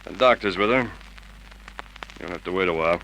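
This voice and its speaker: Gruff male police sergeant, low-pitched, brusque interrogator, vintage radio crime drama. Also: fairly quick, bright, forceful tone. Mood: brisk, probing. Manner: curt, questioning, commanding. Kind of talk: Golden Age radio drama acting. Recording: noisy old radio drama recording.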